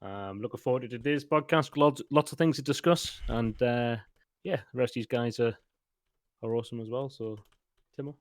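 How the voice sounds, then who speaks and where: A man from Northeast England, a podcast guest, who talks in an easygoing, halting way with fillers. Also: medium pitch, no echo. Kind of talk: casual podcast chat.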